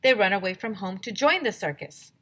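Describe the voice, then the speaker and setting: A woman's voice: medium pitch, expressive, fairly quick, fairly bright tone. A woman voicing a sceptical, matter-of-fact schoolboy with a blunt manner in a children's storybook.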